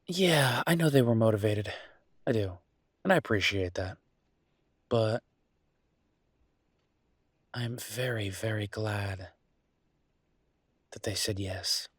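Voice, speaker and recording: Husky, low-voiced man acting as earnest chef boyfriend. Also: slow, pauses often, no room sound. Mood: unsure, grateful. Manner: hesitant, conversational, earnest. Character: enthusiastic down-to-earth chef boyfriend opening a mountain restaurant. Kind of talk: audio roleplay acting, casual one-sided conversation.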